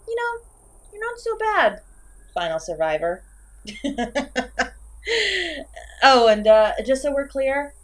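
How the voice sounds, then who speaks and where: A woman's voice: sits high, very animated, a little slow. A woman playing an insecure, petulant, chatty slasher killer in a comedic horror audio roleplay, theatrical and exasperated, swinging between sulky and manic. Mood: friendly, teasing.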